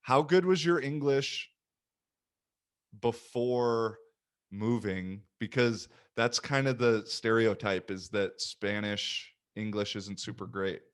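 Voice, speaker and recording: A young man hosting a podcast, chatting casually and animatedly, with quick asides and little voice impressions in his stories. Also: fairly low pitch, clear, resonant tone. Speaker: podcast host. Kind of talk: casual conversation.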